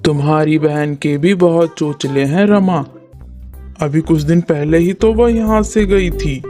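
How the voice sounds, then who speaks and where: A woman's voice: low voice, a little slow, dark tone. A woman voicing a weary, placating husband in a Hindi cartoon story, grumbling drily. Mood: dry, placating. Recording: faint music behind the voice.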